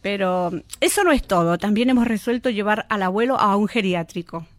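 A woman's voice: medium pitch, lively intonation, a little fast, no room sound, close to an everyday voice. A woman playing a busy, determined mother and daughter in a radio drama, with an Argentine accent. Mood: firm, worried. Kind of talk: amateur student radio drama acting.

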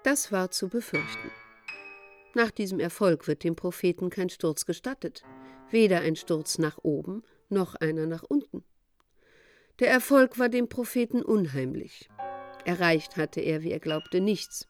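An actress narrating a literary radio play as a composed storyteller, with measured, scripted delivery. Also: female voice, on the low side, lively intonation. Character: female storyteller of the prophet's flight, composed. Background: faint music.

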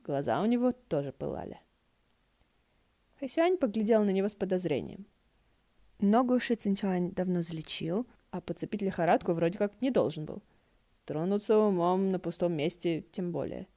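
A woman's voice: lowish voice, animated, moderate pace, mellow. A woman narrating a story as an audiobook reader. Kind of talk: narrating fiction, acting out dialogue.

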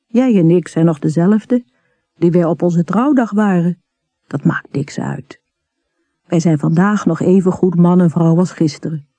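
A woman reading the part of a gentle, reassuring young husband in a story. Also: fairly low pitch, unhurried, no echo.

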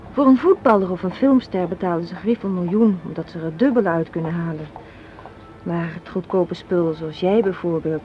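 An actress playing a sharp-tongued, unsentimental young woman in a radio play, sardonic and matter-of-fact as she argues. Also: fairly low pitch, natural voice. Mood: challenging, cynical. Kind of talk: Dutch radio drama acting. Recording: muffled, noisy old radio play recording.

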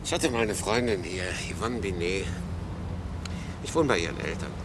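An actor playing a teasing, streetwise refugee, blunt and familiar, in radio play dialogue. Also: male voice, deep voice, lively intonation, normal speed. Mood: offhand, cheeky. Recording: noisy street ambience with traffic.

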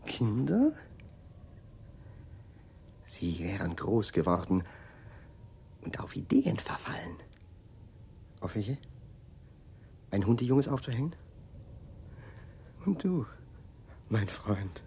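An actor playing an agitated, eccentric male scientist haunted by war in a radio play, with a husky voice and an intense manner. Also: fairly low pitch, a little hiss or hum, full character voice. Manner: slow, intense, swinging from cries to hushed brooding. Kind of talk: dramatic radio play acting.

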